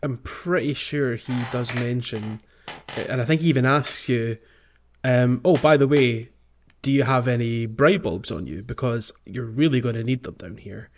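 A man co-hosting a podcast, thinking aloud in halting fragments during a casual gaming discussion. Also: medium pitch, a little hiss or hum. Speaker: podcast co-host.

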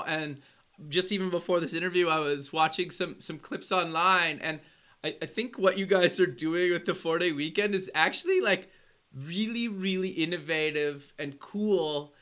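A man in his thirties hosting an interview show, reading a promo and then asking casual, halting questions. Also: high voice, bright, forceful tone. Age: 30s. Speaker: host. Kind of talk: podcast interview.

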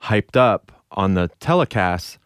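A man's voice: on the low side, animated, unhurried, clear, resonant tone, no echo, clean studio sound. A man hosting a podcast in a casual, informal, conversational style. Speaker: podcast co-host.

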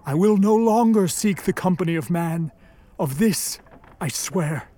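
A man's voice: sits high, lively intonation, unhurried, dark tone. A man playing a remorseful created monster in a radio play, pleading in formal, eloquent, solemn speech. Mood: resigned, pleading.

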